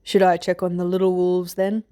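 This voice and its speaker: A woman voicing a gruff, protective, foul-mouthed male guard with a growling, snapping manner in a fantasy audiobook. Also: on the low side, level tone, normal speed, dark tone, close to an everyday voice. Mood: gruff concern. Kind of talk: fan fiction audiobook character voice.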